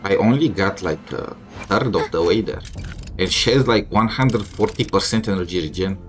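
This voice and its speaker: Low-voiced man giving casual game commentary. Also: moderate pace. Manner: thinks aloud, mildly complaining. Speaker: gamer streaming a playthrough. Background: game music.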